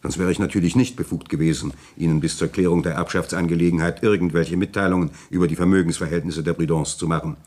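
A man's voice: low-pitched, steady tone, fast talker, hardly any pauses, darkish tone. A man playing an urbane, self-assured notary in a German radio play, courteous, ironic and precise in a lawyerly way. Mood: wry, composed. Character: urbane, self-assured French village notary, wryly indignant but composed.

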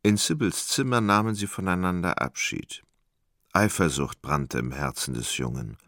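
Very deep, creaky male narrator. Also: moderate pace, a bit bright, dry recording, clean studio sound. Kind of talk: literary scripted narration in a radio play.

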